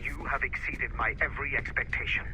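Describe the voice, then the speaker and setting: An actor playing a cold, ruthless villain who gives orders in measured, formal speech in a video game. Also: male voice, medium pitch, fairly even intonation, background noise, lightly acted. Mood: cold, commanding. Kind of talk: dramatic video game acting.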